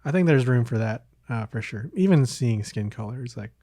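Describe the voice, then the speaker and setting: A man's voice: medium pitch, animated, brisk, mellow, no room sound. Man, podcast host, casual chat, hesitant, meandering, trailing thoughts, dry close sound.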